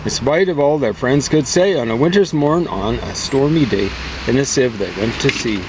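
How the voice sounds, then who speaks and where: A man's voice: medium pitch, animated, moderate pace. A man speaking in a casual, conversational way as he chats and reads poetry aloud. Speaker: poem reader and reviewer. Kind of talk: casual chat and poem reading. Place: outdoors on a deck. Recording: outdoor recording with clear vehicle noise.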